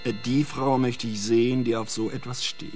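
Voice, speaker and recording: Male actor radio play neighbour, mid-pitched, mocking, argumentative, scornful. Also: level tone, normal speed, a little mellow, soft background music, natural voice. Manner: scornful, bickering.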